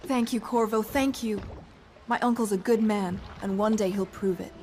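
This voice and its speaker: A woman's voice: medium pitch, fairly even intonation, normal speed, a little mellow, a little hiss or hum, lightly acted. An actress playing an earnest, grateful young woman in a video game, speaking sincerely and plainly. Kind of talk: scripted game dialogue.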